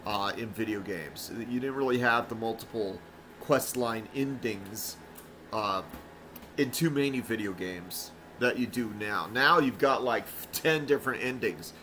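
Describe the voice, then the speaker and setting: A man's voice: medium pitch, a little slow, pushed and bright, a little hiss or hum. A man streaming a video game, chatting casually with lots of fillers and restarts. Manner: rambling, many fillers and restarts. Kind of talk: casual let's-play commentary.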